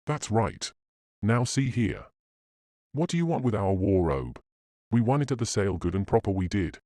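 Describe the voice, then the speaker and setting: Guy doing a gruff defensive caretaker, mellow tone but swoops up and down, audio drama. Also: lowish voice, big pitch swings, normal speed, dry recording. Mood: defensive, suspicious. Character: gruff, suspicious manor caretaker man.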